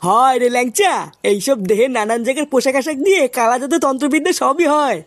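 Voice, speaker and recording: Woman voicing a high, quick-talking village fellow. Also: no echo, natural voice. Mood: ominous. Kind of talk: comic cartoon dialogue.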